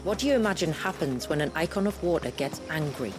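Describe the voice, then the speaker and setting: An actress playing a steadfast village elder and guide in a video game, speaking in formal, measured, archaic phrases. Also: female voice, low voice, lively intonation, music in the background. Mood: stern. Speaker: village elder guiding travelers. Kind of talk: video game cutscene acting.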